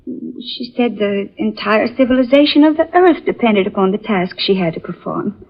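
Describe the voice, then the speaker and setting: Husky, frightened young woman. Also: medium pitch, lively intonation. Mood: distraught. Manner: breathless, halting, melodramatic. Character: frightened, distraught young actress and daughter. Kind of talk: 1940s radio melodrama acting. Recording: dull old radio recording.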